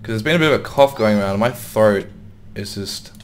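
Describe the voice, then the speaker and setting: A young man with a fairly low voice talks through a game he is playing, wondering aloud and muttering to himself. His throat is a little sore, and his voice is starting to go. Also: brisk. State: slightly sore, losing voice. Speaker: gaming YouTuber. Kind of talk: casual gameplay commentary.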